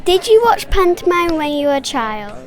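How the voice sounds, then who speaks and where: A woman's voice: sits high, sing-song, normal speed. A woman acting as interviewer, reading her questions carefully.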